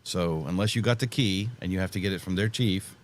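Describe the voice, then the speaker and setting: A man's voice: sits low, a little fast, slight background noise. A middle-aged American man in casual conversation, explaining things in a folksy, plain-spoken way. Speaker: podcast panelist and ham radio hobbyist.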